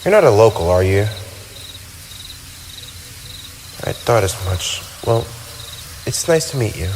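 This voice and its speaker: Man acting a low-voiced brooding vampire, night crickets behind. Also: sing-song, normal speed, gravelly, background noise. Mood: shy, touched. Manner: wistful, formal, theatrical phrasing with sighs. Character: lonely, brooding tsundere male vampire, centuries old, wounded pride. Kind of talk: ASMR roleplay monologue addressed to the listener. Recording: night ambience with crickets and owls.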